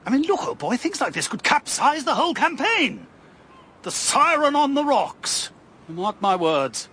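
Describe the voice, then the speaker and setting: An actor in a radio drama playing a fussy, easily alarmed political campaigner with an upper-class English accent and a blustering manner. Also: male voice, very high voice, big pitch swings, a little slow, pushed and bright, slight background noise, full character voice. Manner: blustering, emphatic.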